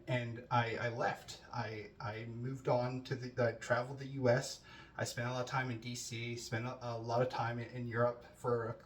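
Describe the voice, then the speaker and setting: Thirties man, relaxed chatty self-intro, faint room hiss. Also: mid-range voice. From New Jersey, US. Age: 30s. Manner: conversational with frequent fillers and restarts. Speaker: student introducing himself. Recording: roomy, distant mic with faint ambience.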